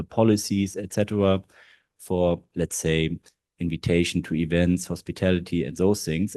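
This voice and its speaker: A man's voice: low-pitched, warm tone, no room sound. A man from Germany with a German accent, speaking as in-house counsel on a panel, hesitant with many fillers. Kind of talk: panel talk at a law event. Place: large historic hall.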